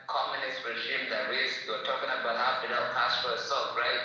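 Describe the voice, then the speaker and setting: A man rants and hurls insults in a combative, profane stream of talk. His voice is very bright and cutting. He sounds set back from the mic in a strongly echoing space. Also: mid-range voice, little pitch movement, fairly quick. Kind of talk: heated online group-chat argument. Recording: echoey, distant from the mic.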